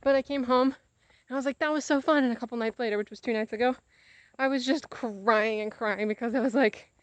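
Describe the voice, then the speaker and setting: Young woman, fairly high-pitched, casual confessional chat, animated retelling, dry close sound. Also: fairly quick, dry recording. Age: young adult. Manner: animated, acts out quoted conversations. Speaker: vlogger.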